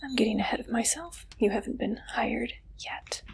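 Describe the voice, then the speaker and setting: A woman performing an ASMR roleplay as an interviewer, speaking directly to the listener in a soft, breathy, playful whisper. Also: on the high side, moderate pace, background noise.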